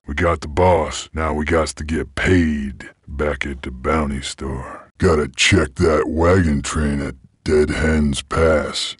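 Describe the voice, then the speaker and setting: A weary, menacing frontier bounty hunter creature from a video game, speaks in an extremely deep, husky voice. His Western American drawl is slangy and deadpan. The recording is close and dry. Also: dry recording, exaggerated voice. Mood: gruff, businesslike. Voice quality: husky, gravelly. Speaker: game protagonist. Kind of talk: video game character lines.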